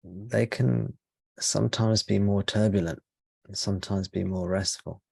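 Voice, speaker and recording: A man speaking as a spiritual teacher leading a guided meditation, in slow, measured short phrases with pauses between them. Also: lowish voice, steady tone, gravelly, darkish tone, husky, no echo.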